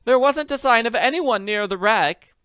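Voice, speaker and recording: A woman voicing an agitated, elegant middle-aged woman in a storybook, pleading in a breathless, drawn-out way. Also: on the high side, lively intonation, fairly bright tone, clear, resonant tone, no room sound, studio quality, pitched above the performer's own voice. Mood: distressed. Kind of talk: audiobook character voice.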